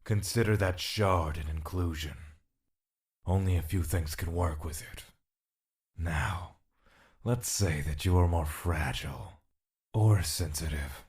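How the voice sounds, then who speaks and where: A man voicing a calm, aloof male spirit in an audio drama. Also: sits low, slow pace.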